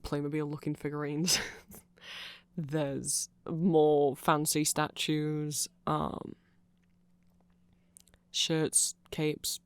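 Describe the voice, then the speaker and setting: A performer voicing a nerdy, awkward, eager vampire friend in a rambling, hesitant ASMR roleplay, halting and self-conscious. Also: mid-range voice, clear, resonant tone, no echo. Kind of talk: rambling ASMR roleplay, explaining fan lore to a listener.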